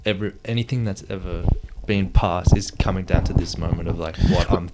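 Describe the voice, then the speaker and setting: A man's voice: low voice, brisk. A man hosting a casual chat, musing aloud and searching for words as he talks, heard on a noisy recording with clicks. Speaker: podcast host.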